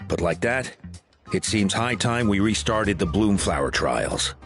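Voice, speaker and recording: Principled fighter role: fairly deep, steady, serious, video game scene with clear underscore. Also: male voice, unhurried. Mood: earnest. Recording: music behind the voice.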